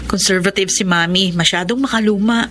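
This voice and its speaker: An actress playing a resolute grown daughter with a Filipino accent, firm and earnest, in a radio drama. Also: female voice, medium pitch, fairly quick, fairly bright tone, slight background noise, close to an everyday voice. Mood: reassuring. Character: resolute grown daughter, separated wife in new relationship.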